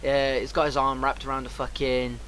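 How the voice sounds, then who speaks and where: A man casually telling a rambling, animated anecdote on a livestream, acting out the dialogue and swearing often. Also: medium pitch, a little fast. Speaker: streamer. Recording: clearly noisy background.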